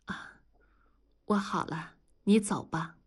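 A composed woman official in middle age speaks quietly and holds her feelings in, as a female narrator voices her. Her voice sits low and muted. An audiobook character. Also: slow pace, breathy edge, in a whisper. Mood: sorrowful.

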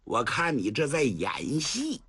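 A man's voice: medium pitch, huge pitch swings, takes it slowly, sharp tone, slightly rough, exaggerated voice. A male storyteller voicing an arrogant young general who sneers and taunts in a raised voice. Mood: contemptuous.

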